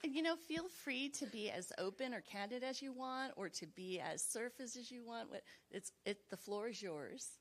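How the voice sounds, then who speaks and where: A woman's voice: fairly high pitch, animated, moderate pace, few pauses. A woman hosting a book club event, inviting people up to share in an informal, open way. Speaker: event host. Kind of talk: facilitating discussion.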